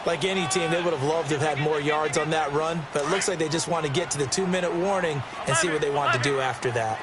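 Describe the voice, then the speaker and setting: A man's voice: a little high, quick pace, a loud crowd, close to an everyday voice. A man voicing an opinionated football color analyst in a video game, explaining plays emphatically. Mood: analytical. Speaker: color commentator. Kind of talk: sports commentary. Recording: loud stadium noise over voice.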